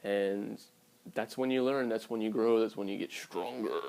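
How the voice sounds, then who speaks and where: A man's voice: lowish voice, fairly even intonation, moderate pace, gravelly, darkish tone. A man in his early twenties talking casually and candidly to his viewers in a blunt, sweary vlog style. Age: early 20s. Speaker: vlogger.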